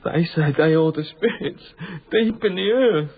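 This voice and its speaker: A man's voice: a little high, a little slow. An actor playing a frightened, pious Victorian night watchman who speaks formally, deferentially and hesitantly in a radio drama. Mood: haunted, fearful. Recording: tinny band-limited radio broadcast, noisy background.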